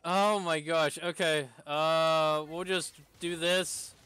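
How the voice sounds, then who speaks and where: A man's voice: a little high, steady tone, slow pace, sharp tone, clean tone. A man streaming a game with casual live commentary, exclaiming when things go wrong. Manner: reads game lines aloud, exclaims at setbacks.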